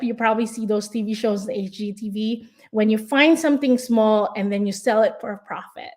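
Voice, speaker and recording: A woman's voice: mid-range voice, a little mellow. A Filipino-accented woman from the Philippines, a real estate investor and coach, giving an earnest, encouraging motivational speech, restarting and repeating phrases as she goes. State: tired.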